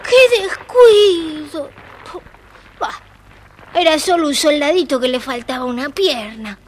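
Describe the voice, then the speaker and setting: A woman's voice: high-pitched, animated, takes it slowly. A woman voicing a fickle young boy with a blunt, petulant manner in a children's audio storybook. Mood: dismissive. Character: young boy, fickle, dismissive toy owner.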